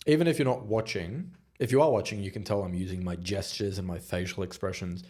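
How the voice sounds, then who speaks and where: A man with a fairly low voice hosts his own advice podcast as a communication coach. He talks straight to his listeners in a motivational way. He speeds up and slows down on purpose and lets his volume swell and drop to drive each point home. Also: darkish tone. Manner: emphatic, varies volume and pace for effect; direct, motivational.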